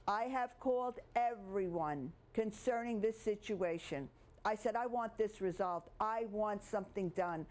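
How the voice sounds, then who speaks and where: A woman's voice: mid-range voice, a little slow, darkish tone. An older woman speaking emphatically in an interview as a grandmother. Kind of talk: interview account. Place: living room, indoors. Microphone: lapel.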